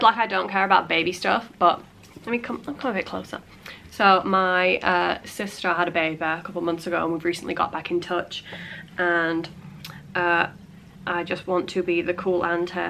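Late-20s woman, bunged up with a cold, gravelly and bright, chatty vlogger at home. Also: lowish voice, a little hiss or hum. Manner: chatty, frequent "like" fillers, playful asides. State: congested with a cold. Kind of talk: casual chat. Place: small cluttered home room.

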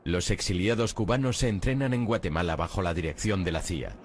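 A man narrating a history documentary in a measured, grave, scripted delivery. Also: deep voice, slight background noise. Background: music bed.

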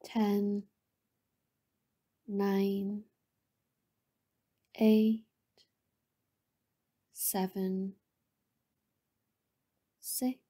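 Very dark, soft woman meditation guide. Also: medium pitch, flat delivery. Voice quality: soft, breathy, often near-whisper. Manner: soothing, gentle, lingering pauses between phrases.

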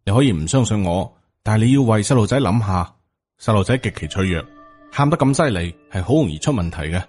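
A man voicing a courteous, self-important Taoist priest in an audiobook, formal and measured, with a Hong Kong Cantonese accent. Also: lowish voice, animated, darkish tone, soft background music, lightly acted. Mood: solemn persuasion.

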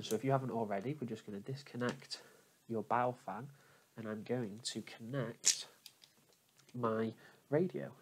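A man talking viewers through a software tutorial step by step, in an informal, explanatory manner. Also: medium pitch, husky, rough recording. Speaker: tutorial host. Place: indoors at a computer, voice over screen capture.

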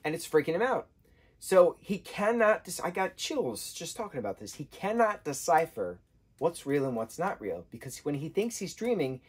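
Animated husky man reviewing a book. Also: a little high. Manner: animated, gestures a lot, acts out quotes in changing voices. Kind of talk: casual vlog book review. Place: indoor room, sofa with patterned throw.

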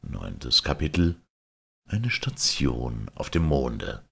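A man narrating a literary audiobook in a deliberate, dramatic storytelling manner. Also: a bass voice, sing-song, vocal fry, pushed and bright, husky.

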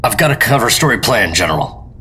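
An actor playing an earnest, excitable government agent in an old-time style radio drama. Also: male voice, fairly low pitch, fairly quick, slight background noise. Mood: dutiful, dry. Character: earnest, excitable male government secret agent telling his story.

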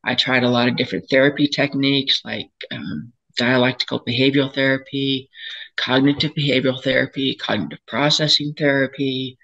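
An older woman, hoarse and raspy from a cold, candidly telling her own story as a patient on a video call, pausing and restarting as she talks. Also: a bass voice, fairly even intonation, fairly bright tone, muffled recording. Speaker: patient sharing her experience. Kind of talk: personal testimony at a panel. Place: home room, indoors, seated in a chair. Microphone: video call webcam.

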